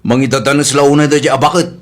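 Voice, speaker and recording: A man's voice: medium pitch, flat delivery, fast, natural voice. A man playing an aggrieved, defiant son-in-law in a comedy drama, complaining defensively with an Ilocano accent.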